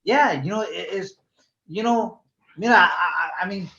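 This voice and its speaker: Brief: older man, fairly high voice, casual rambling storyteller, roomy echo, dull lo-fi sound. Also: unhurried, gravelly, crowd noise. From California. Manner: laid-back, rambling, heavy "you know" fillers. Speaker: guest, former professional boxer.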